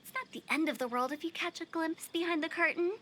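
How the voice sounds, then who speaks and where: An actress playing a playful, cheeky young funeral parlor director in an anime video game, teasing and theatrical. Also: female voice, very high-pitched, fast talker, a bit bright. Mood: playful warning.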